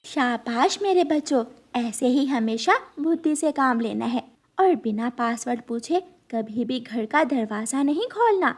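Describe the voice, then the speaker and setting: A caring mother bird from an animated fable, acted by a woman. The voice is fairly high. The manner is motherly and instructive. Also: a little slow. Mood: approving. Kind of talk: animated moral story voice.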